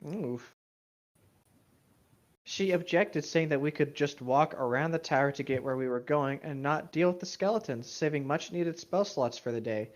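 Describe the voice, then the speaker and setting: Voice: mid-range voice, normal speed. A person co-hosting in a casual style, moving between informal story reading and brief conversational reactions. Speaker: co-host reading stories and reacting. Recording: dull, muffled lo-fi microphone.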